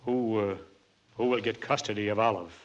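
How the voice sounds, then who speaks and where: A man's voice: fairly low pitch, fairly quick, close to an everyday voice. A man playing an absent-minded, easily exasperated husband in a theatrical 1940s radio comedy style. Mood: solemn. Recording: muffled, noisy old radio recording.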